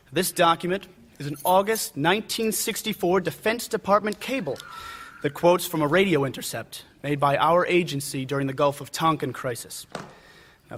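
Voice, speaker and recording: A stiff young military lieutenant, voiced by a man in an audio drama, respectful and formal, with a fairly high voice. A little noise sits behind him. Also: fairly bright tone, natural voice. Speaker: military witness.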